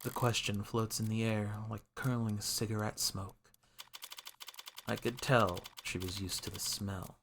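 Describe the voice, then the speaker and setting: A man's voice: on the low side, level tone, unhurried, a bit bright, a little hiss or hum. A man voicing a wry, brooding defense attorney in a world-weary noir game monologue. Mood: poetic, wary. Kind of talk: noir visual novel narration.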